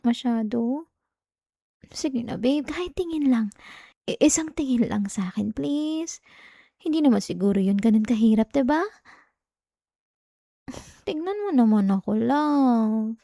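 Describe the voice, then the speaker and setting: Clingy, jealous girlfriend played by a woman, soft and mellow. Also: on the high side, expressive, unhurried, vocal fry, long pauses, dry recording, clean studio sound, full character voice. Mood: coaxing, pleading. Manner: coaxing, whiny, with sighs and hesitations. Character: clingy, jealous young girlfriend, pleading and pouting. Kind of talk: intimate ASMR girlfriend roleplay. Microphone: close, dry microphone.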